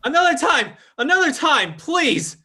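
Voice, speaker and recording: An actor playing a scheming, defiant fake psychic in a live old-time radio drama, with a theatrical, formal delivery. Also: male voice, very high-pitched, very animated, a little slow, bright, forceful tone, exaggerated voice. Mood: moaning. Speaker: stage actor in radio play.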